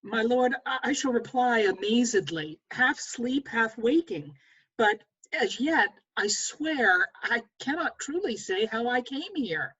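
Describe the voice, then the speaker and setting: Woman as a dazed young lover, husky voice. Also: a little high, animated, takes it slowly, a bit bright, dull audio, full character voice. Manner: hesitant. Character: dazed, apologetic young male lover, half awake. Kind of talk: Shakespeare table read. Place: video call.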